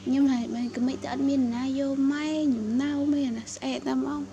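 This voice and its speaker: A woman's voice: sits high, steady tone, moderate pace, muffled recording, background noise. A woman chatting casually while looking after a pet, recorded on a home video. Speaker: monkey caretaker. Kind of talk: casual chat.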